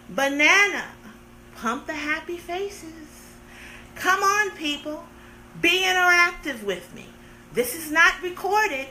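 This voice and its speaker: High-voiced woman joking on a livestream. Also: bright, forceful tone, slight background noise, poor audio. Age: 30s. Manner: playful, sarcastic, theatrical complaining. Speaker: livestream host. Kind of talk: casual live-stream chat with viewers.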